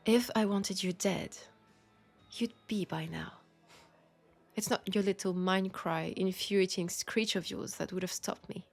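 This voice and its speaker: A woman acts out a world-weary, seductive female vampire with a French accent. She speaks slowly and intimately in a soft, husky voice that often drops toward a whisper. Faint music plays behind this audio drama. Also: medium pitch, full character voice. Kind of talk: intimate scripted audio roleplay monologue.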